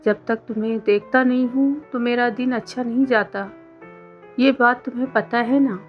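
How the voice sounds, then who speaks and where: A woman voicing an insistent, smooth-talking, evasive young college boyfriend in a storybook romance. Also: fairly high pitch, fairly even intonation, normal speed, lightly acted. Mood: smitten. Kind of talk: scripted story dialogue. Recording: dull lo-fi sound under music.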